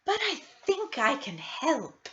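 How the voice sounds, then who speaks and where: A woman voicing a kind, sweet, plump old woman in a storybook, bright and gentle in manner. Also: sits high, wildly swooping pitch, slow pace, muffled recording, pitched above the performer's own voice, heavily acted. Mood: bright, polite.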